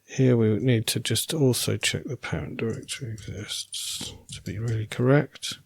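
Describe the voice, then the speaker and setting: Husky man live coding, voice going. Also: mid-range voice, normal speed. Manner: relaxed, self-correcting, wry asides. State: losing his voice. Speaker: programming tutor. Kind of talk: thinking aloud while live coding.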